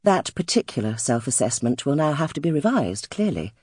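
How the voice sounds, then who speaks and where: A woman narrating first-person literary fiction as an audiobook, in a wry, expressive storytelling manner. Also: low-pitched, fairly quick, breathy edge, no echo, studio quality.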